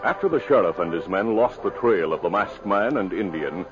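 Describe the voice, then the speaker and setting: A man's voice: low voice, lively intonation, normal speed, music in the background. A man narrating a 1950s radio adventure as its announcer in a dramatic, scripted style. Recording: muffled old radio recording.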